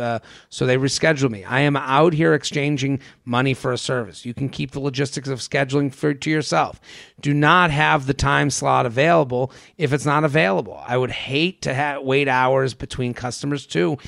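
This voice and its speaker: Gravelly man chatting on a podcast. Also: medium pitch, no room sound, clean studio sound. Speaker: podcast host. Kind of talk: casual comedic advice chat, sometimes reading listener emails.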